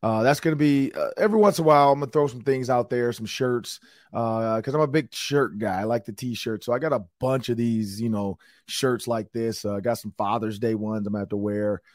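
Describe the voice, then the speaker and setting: A middle-aged man hosting a sports podcast, talking casually in a rambling way, his voice a little raspy from shouting. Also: medium pitch, a little fast, no room sound, clean studio sound. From Minnesota, US. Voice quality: slightly raspy. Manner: chatty, rambling with fillers and restarts. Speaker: podcast host.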